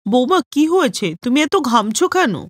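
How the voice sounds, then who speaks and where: An elderly, fretful mother-in-law from a cartoon story, put on by a woman. She chides in a high voice, with a close, dry sound. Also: lively intonation, moderate pace, no echo. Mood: concerned. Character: elderly grandmother and mother-in-law, worried and scolding.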